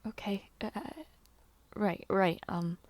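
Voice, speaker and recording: Woman acting a shy, soft-spoken teenage noble girl, close-up. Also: mid-range voice, fairly even intonation, slow, dry recording, natural voice. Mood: flustered, hesitant. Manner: hesitant, stammering, softly earnest. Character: gentle, modest teenage noblewoman in a fantasy world. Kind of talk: fantasy audio roleplay acting, intimate one-sided dialogue.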